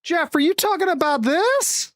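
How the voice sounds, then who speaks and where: A woman's voice: very high-pitched, lively intonation, moderate pace, no echo, clean studio sound. A woman hosting a music show, chatting casually about records in a rambling, jokey, informal way with viewers.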